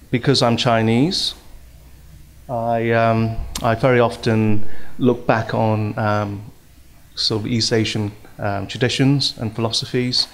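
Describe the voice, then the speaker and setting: A middle-aged man from Hong Kong giving a hesitant talk at a symposium, with many fillers and restarts, into a stand microphone in a large hall. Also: lowish voice. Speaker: speaker at a symposium. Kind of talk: conference talk.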